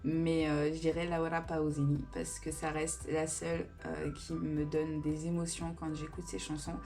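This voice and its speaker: Casual beauty vlogger, woman in her thirties, lowish voice, chatty, rambles with fillers, faint music behind. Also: completely flat delivery, moderate pace, warm tone. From Italian family roots. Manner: chatty and digressive, with many fillers. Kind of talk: casual Q&A chat.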